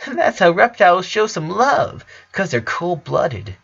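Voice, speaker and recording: A man voicing an excitable, proud little girl with an eager, chirpy manner, on a dull recording. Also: high voice, measured pace, gravelly, husky, voice pushed up, heavily acted.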